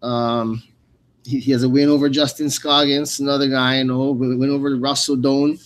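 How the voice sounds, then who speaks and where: A man's voice: medium pitch, flat delivery, moderate pace. Casual male radio fight host. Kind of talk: loose, casual live fight commentary.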